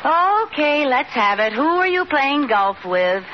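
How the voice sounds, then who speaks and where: An actress playing a teasing, sarcastic but doting wife in an old-time radio comedy. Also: female voice, sits high, big pitch swings, slow, heavily acted. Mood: coaxing, sarcastic. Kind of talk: 1940s-50s radio comedy acting. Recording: muffled, very noisy vintage radio recording.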